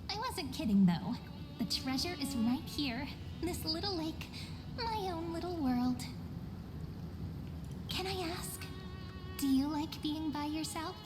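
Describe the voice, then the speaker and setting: Casting: dreamy, teasing young game heroine, high-pitched, gentle, poetic delivery with long reverb. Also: female voice, animated, slow, pauses often. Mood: playful, admiring. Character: playful, dreamy young woman, gentle storyteller. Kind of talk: video game character acting. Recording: long hall reverb under clear music.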